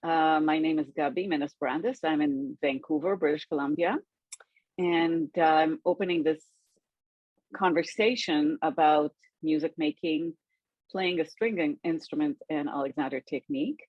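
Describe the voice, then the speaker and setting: A slightly dull, dry recording of a woman with a low, husky voice. She hosts informally, introducing guests and handing over to them. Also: moderate pace, dry recording. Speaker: host.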